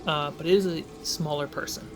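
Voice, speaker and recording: A man's voice: on the high side, fairly even intonation, brisk, over music. A man narrating a tabletop fantasy game as game master, setting scenes and prompting players.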